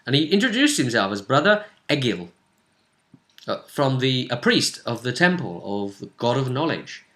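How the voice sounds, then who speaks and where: A man with a very bright, forward voice talks as the game master of a tabletop role-playing game. His pitch sits in an ordinary male range. He recaps the session casually, rambling along and acting out bits of dialogue as he tells it. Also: big pitch swings, normal speed. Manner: rambling, acts out characters' lines as he recounts.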